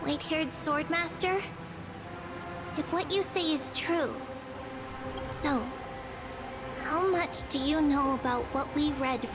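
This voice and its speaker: A woman's voice: high-pitched, slow, long pauses, background noise. An actress voicing a small, earnest, childlike girl prophet in a video game, careful and pleading in manner. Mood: wary, questioning. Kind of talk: dramatic video game voice acting.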